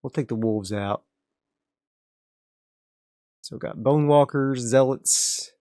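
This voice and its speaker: A man's voice: mid-range voice, slow pace, no room sound, clean studio sound. A man playing a solo tabletop game, casually narrating and thinking aloud as he sets up his next area. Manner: relaxed, rambling, frequent restarts.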